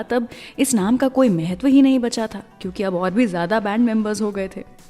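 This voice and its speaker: A woman's voice: fairly high pitch, darkish tone, big-room sound. An Indian woman presenting a music radio show in a conversational, chatty storytelling way, slipping between Hindi and English phrases.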